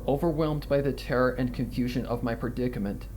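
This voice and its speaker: A man reading a horror story in a dramatic, deliberate scripted narration. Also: medium pitch, fairly even intonation, a little mellow, slight background noise, poor audio. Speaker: fan fiction reader. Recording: music behind the reading. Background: background music.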